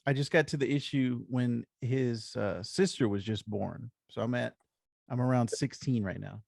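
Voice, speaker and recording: Creaky-voiced American man, casual podcast co-host. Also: medium pitch, normal speed, darkish tone, no echo, studio quality. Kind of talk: casual chat.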